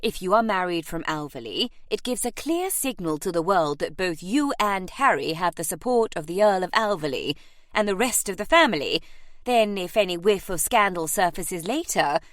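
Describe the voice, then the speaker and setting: A woman's voice: fairly low pitch, expressive, moderate pace, a bit bright, dry recording. Lady doing a posh bossy old aunt for an audiobook, airy n dismissive, voice swoops all over, real dry recording. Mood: airy, persuasive. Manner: emphatic, swooping, grandly dismissive. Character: imperious, airy aristocratic English aunt, older lady. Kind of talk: audiobook character acting.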